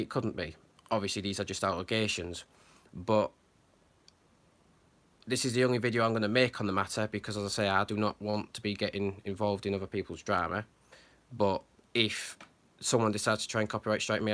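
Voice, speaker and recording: A man with a fairly low voice talks off the cuff about a matter that worries him. He stops and restarts often, filling gaps with ums. His tone stays earnest and concerned. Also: a bit bright. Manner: hesitant, many fillers and restarts. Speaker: channel host. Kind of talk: unscripted commentary to camera.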